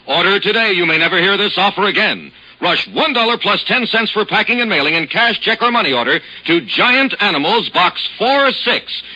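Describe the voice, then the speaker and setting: Male pitchman, lively and sharp, vintage radio ad, dull sound. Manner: brisk, hard-sell pitch. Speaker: radio commercial announcer. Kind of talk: hard-sell radio ad.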